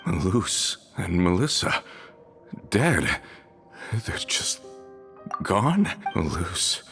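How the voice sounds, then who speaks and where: A man's voice: low-pitched, very animated, extremely slow, a bit bright, exaggerated voice. A man voicing a loyal crew member just back home, earnest and incredulous in how he talks. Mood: shaken. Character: man returning home to his old crew, loyal and shaken. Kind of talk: video game voice acting. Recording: game audio with music.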